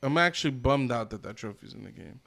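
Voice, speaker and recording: A man with a medium-pitched voice talks as a podcast co-host. He is casual and opinionated, and he swears freely as he chats. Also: lively intonation, fast talker, gravelly, a bit bright. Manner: opinionated, profane. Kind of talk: casual chat about games and films.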